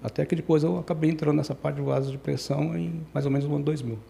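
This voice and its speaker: A man's voice: on the high side, steady tone, racing, creaky, dark tone, slight background noise. A man in his sixties, raised in Brazil, telling his life story as a podcast guest, rambling and correcting himself as he goes. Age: 60s. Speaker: guest engineer. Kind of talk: casual podcast interview.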